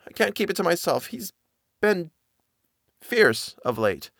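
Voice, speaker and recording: A man's voice: sits high, big pitch swings, a little slow, creaky, a bit bright, dry recording, clean studio sound, pitched above the performer's own voice, heavily acted. A man voicing a frightened middle-aged housekeeper who stammers in plain servant speech. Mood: fearful.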